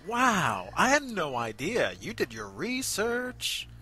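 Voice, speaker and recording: A man co-hosting a review show in casual chat, speaking hesitantly with many pauses. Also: high-pitched, huge pitch swings, sharp tone. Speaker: co-host of an amateur voice acting review show. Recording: background music under voice.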